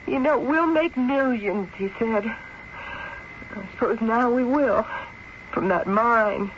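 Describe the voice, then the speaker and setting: A woman's voice: fairly high pitch, slow pace. An actress playing a fragile, innocent young widow from the East in an old radio drama, ladylike, hesitant and proper. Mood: sorrowful, fragile. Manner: ladylike, hesitant, wide-eyed, proper diction. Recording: dull, noisy old radio broadcast.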